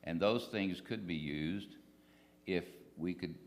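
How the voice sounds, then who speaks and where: A man, a legislator, making an earnest, measured argument at a public forum. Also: low-pitched. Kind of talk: answering questions at a public forum. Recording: reverberant hall.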